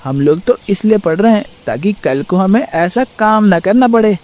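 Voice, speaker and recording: A woman's voice: mid-range voice, animated, normal speed, dark tone, voice pushed down, natural voice. A woman voicing a young student customer who asks curious questions in a storybook cartoon.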